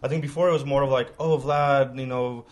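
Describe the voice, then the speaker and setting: A man's voice: mid-range voice, fairly even intonation, brisk. A man hosting a podcast, who asks his questions in a rambling, informal way with lots of fillers and restarts. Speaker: podcast host. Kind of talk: casual conversational interview.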